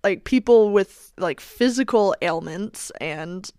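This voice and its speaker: A woman's voice: mid-range voice, takes it slowly, warm tone, smooth, dry recording, clean studio sound. A woman co-hosting a podcast, chatting bluntly and casually and swearing freely. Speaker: podcast co-host. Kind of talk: casual chat.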